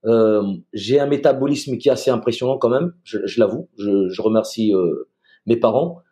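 A man in his forties from Madagascar chats easily as a guest over a video call, restarting his sentences as he goes. His voice sits in a mellow, darker register at a middle pitch. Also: moderate pace. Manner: relaxed, easygoing, repeats words as he starts. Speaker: guest, retired soldier. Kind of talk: casual interview chat. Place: home room, video call.

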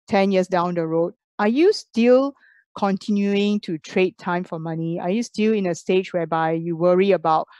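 A woman's voice: fairly low pitch, darkish tone, dry recording, highs cut off. A woman giving an online business presentation, persuasive and full of rhetorical questions.

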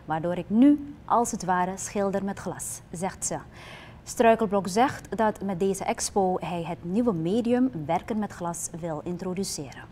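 A young woman presenting the news from a television studio in a measured, even newsreader delivery. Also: medium pitch, slight background noise. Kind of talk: scripted news narration.

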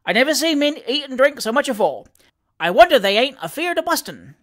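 Breezy Cockney servant for a storybook, played by a man, cheeky and emphatic, with lively swings in pitch. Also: a little fast, heavily acted. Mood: cheerfully emphatic. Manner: emphatic, colloquial.

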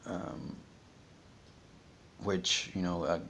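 A man in his forties talking casually and unscripted, haltingly thinking aloud, on a home vlog. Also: low voice, creaky, fairly bright tone, slight background noise. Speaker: vlogger discussing a criminal case. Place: small room at home, indoors.

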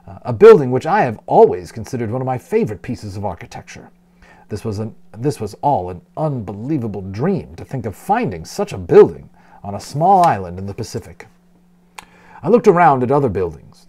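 A male storyteller reads with dramatic expression and very lively pitch movement. His tone is mellow and unforced, suited to telling a story aloud to listeners of all ages. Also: fairly quick. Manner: dramatic, with brief explanatory asides. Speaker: audiobook reader, storyteller.

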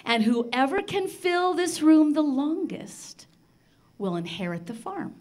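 A woman storyteller voicing an aging, fair-minded farmer father who deliberately instructs his children. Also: sits high, sing-song, a little mellow, voice pushed up, exaggerated voice. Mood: instructing.

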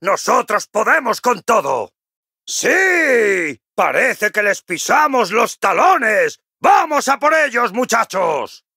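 A man with a Castilian accent from Spain voicing a boisterous, cocky, jovial dwarf warrior for a video game, gruff and raspy, hearty and full of exclamations. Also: high-pitched, sing-song, slow pace, pushed and bright, no room sound, clean studio sound, exaggerated voice. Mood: fierce, triumphant. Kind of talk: video game character voice lines.

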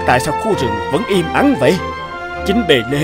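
A man's voice: fairly high pitch, very animated, fairly quick, loud music behind the voice. A man voicing an earnest, firm young island chieftain in a cartoon.

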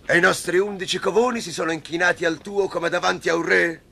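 A man voicing an agitated, resentful adult brother in an animated film dub. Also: high-pitched, fast, vocal fry, a little hiss or hum, exaggerated voice.

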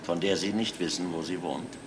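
An actor playing a courteous, matter-of-fact police inspector in a crime drama, asking probing questions in a businesslike way. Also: male voice, sits low, brisk, close to an everyday voice. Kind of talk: German crime drama acting. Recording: echoey room, clearly noisy old soundtrack.

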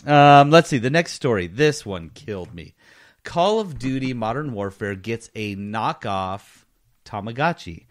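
Animated man, joking podcast host. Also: mid-range voice, measured pace. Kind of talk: casual comedic podcast chat about gaming.

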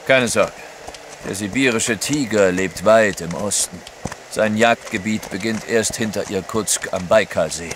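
An actor playing a bold Russian courier in disguise, decisive and commanding, in an audio drama. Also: male voice, on the low side, measured pace, background noise, lightly acted. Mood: grave. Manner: decisive, commanding, sure of himself. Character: bold, resolute Russian courier posing as merchant guide. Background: dramatic music.

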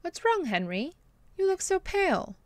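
An actress playing a kindly, long-suffering bishop's wife in an audio drama, gentle and solicitous. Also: female voice, very high-pitched, very animated, moderate pace, no room sound, clean studio sound. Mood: concerned. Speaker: actor. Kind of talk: radio-play style drama acting.

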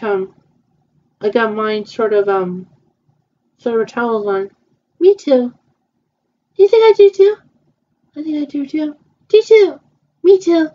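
Halting young woman, muffled lo-fi sound. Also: a little high, warm tone. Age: 20s. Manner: hesitant, halting, many repeats and fillers. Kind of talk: improvised fan roleplay dialogue. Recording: muffled lo-fi home recording.

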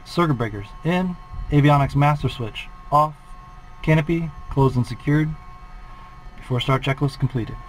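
A man's voice: on the high side, moderate pace, a little hiss or hum, poor audio. A flight instructor in his forties, calling out a preflight checklist in a methodical, clipped way from a small plane cockpit on a headset.

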